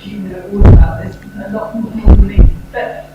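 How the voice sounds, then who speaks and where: A woman's voice: medium pitch, steady tone, moderate pace, phone-quality sound, loud music behind the voice. A woman in the audience asking a probing question, thinking aloud as she goes. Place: conference hall. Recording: roomy hall with loud background noise.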